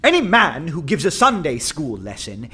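A defiant poet orating in a radio drama. The voice is high for a man and very lively, full of scorn as he rouses his comrades. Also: normal speed, breathy edge, exaggerated voice. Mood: scornful, rousing. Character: defiant undercover poet rousing anarchists with scornful oratory. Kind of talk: radio play oratory.

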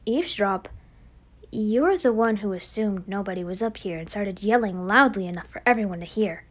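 A woman voicing a calm, composed teenage former idol in an anime game, measured and matter-of-fact. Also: medium pitch, voice pushed down, close to an everyday voice. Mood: cool, firm. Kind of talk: amateur anime game voice acting.

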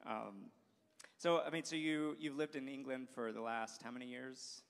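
A man's voice: medium pitch, normal speed, creaky. Upbeat man hosting an onstage interview. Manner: upbeat, restarts often. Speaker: school staff host. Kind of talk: stage interview. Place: church sanctuary stage. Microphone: handheld mic. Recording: long reverb of a large hall.

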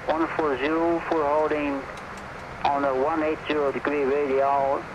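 Air traffic controller over muffled radio. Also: male voice, a little high, level tone, a little slow. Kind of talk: radio clearances.

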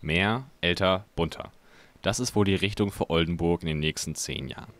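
Deep-voiced male news reporter. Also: normal speed, a bit bright. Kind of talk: scripted narration.

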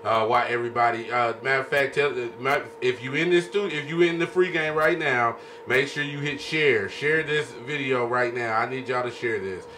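A man's voice: mid-range voice, moderate pace, few pauses, bright, forceful tone, a little hiss or hum. An American man hosting a casual podcast chat, repeating his phrases and leaning on filler tags. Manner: repeats phrases, peppers talk with "you know what I'm saying". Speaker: podcast host. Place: small room set up as a podcast studio. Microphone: studio mic on boom arm.

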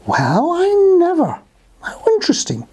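Friendly know-it-all rabbit for a storybook, woman performing: male, sociable, enthusiastic, pitched up and lilting. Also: wildly swooping pitch, normal speed, a little mellow, exaggerated voice. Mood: curious. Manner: knowledgeable, enthusiastic. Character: good-natured, knowing male rabbit, sociable and chatty.

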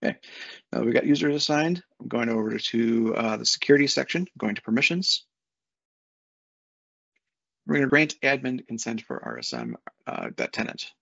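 A man in his thirties walking through a software setup on a screen share, talking casually step by step with fillers, recorded on a desk mic at home. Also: medium pitch, big pitch swings, normal speed, gravelly, long pauses, breathy edge, no room sound. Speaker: software consultant. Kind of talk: screen-share tutorial walkthrough. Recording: dull lo-fi dry sound.

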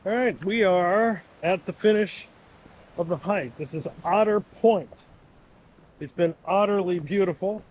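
A man's voice: high voice, a little slow, highs cut off, slight background noise. A middle-aged man vlogging a hike along a rocky seaside trail, chatting casually and rambling with curiosity into a camera on a pole. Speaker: hiking vlogger. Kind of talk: casual walking commentary. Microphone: 360 camera on a pole.

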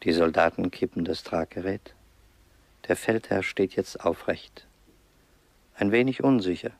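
A grave narrator tells a radio play in solemn, deliberate phrases. The voice is low and very dry, close and sober. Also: male voice, dry recording. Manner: measured, deliberate.

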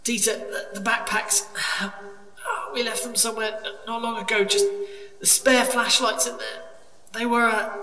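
A man's voice: very high voice, little pitch movement, normal speed, pushed and bright. A man playing a cautious, reluctant cave explorer in an audio drama, anxious, hesitant and stammering. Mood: distressed. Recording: echoey cave-like reverb, distant, faint noise.

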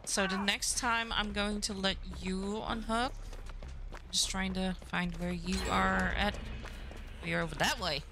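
A woman's voice: mid-range voice, steady tone, measured pace, creaky, background noise. A woman whose first language is Dutch, chatting casually on a live stream while playing a game. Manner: chatty, reacts aloud to the match. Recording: game music in the background.

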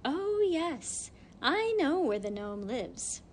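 A woman voicing a sly, smug farm cat that talks softly and mockingly. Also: high voice, normal speed, a little hiss or hum, lightly acted. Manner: soft, mocking.

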